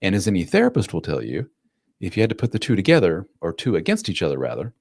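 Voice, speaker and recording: A man nearing fifty talks through viewer questions as a relationship coach, loose and conversational. His voice sits very low, and the sound is close and dry, with almost no room around it. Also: huge pitch swings, fast talker, vocal fry, darkish tone, slightly rough, dry recording. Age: 40s. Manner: casual, plain-spoken, acts out quotes in a raised voice. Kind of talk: live-stream Q&A.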